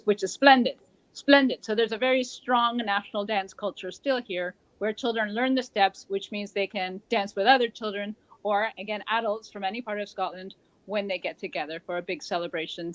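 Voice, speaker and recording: A woman's voice: medium pitch, a bit bright, no echo, low-quality recording. A woman with a Canadian accent, an interview guest who talks in a chatty, digressive way and corrects herself. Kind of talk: conversational interview.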